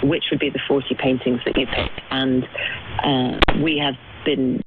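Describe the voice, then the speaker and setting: A woman's voice: extremely low pitch, level tone, unhurried. A woman speaking as an art historian and curator in an interview, explaining things articulately with emphatic descriptive words, heard over shortwave radio. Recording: tinny shortwave radio, loud static noise. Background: loud shortwave static and clicks.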